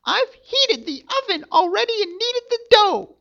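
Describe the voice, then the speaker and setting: A man voicing a cruel old witch in a shrill falsetto, barking impatient orders in a storybook reading. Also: big pitch swings, moderate pace, vocal fry, pushed and bright, exaggerated voice. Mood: scheming. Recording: dull lo-fi recording.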